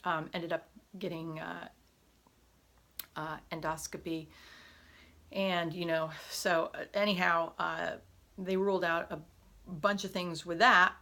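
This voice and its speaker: A woman talking casually to her camera from home, her pitch sitting a little low. Her voice is hoarse at times, and she rambles with ums and restarts. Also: level tone, slow, pauses often, pushed and bright. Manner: chatty, frequent fillers and self-corrections. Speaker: vlogger. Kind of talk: unscripted personal vlog. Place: home room. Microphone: camera on a tripod.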